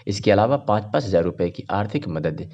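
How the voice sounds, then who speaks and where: Male regional news voice-over, low-pitched, slightly lo-fi sound, reading from a script. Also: lively intonation, quick pace, darkish tone. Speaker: news reporter and narrator. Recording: dull, muffled voice-over.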